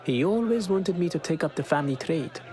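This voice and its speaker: High-voiced obliging townsman man acting. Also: expressive, brisk, warm tone, lightly acted. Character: chatty, obliging townsman, carpet seller's son. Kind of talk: video game acting.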